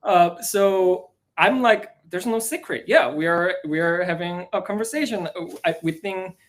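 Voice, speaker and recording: A man with a Korean accent, a co-writer talking in a casual live stream, hesitant and soft-spoken, often pausing on "uh" and laughing easily. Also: high voice. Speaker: co-writer of a musical.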